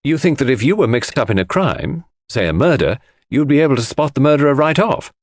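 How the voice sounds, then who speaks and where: A man voicing an earnest, self-satisfied gentleman in an audiobook, eager and slightly pompous. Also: mid-range voice, animated, brisk, dry recording, studio quality, voice pushed up, close to an everyday voice.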